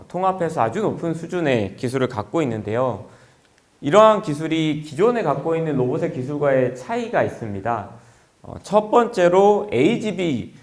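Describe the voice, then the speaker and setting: Formal male presenter with lively, higher-pitched voice. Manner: polite, explanatory. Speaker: company executive presenting at a forum.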